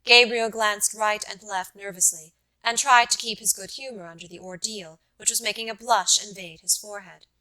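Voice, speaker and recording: A woman narrates literary fiction for an audiobook. Her voice is bright and mid-pitched. She reads in a measured, carefully enunciated manner. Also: fairly even intonation, low-quality recording.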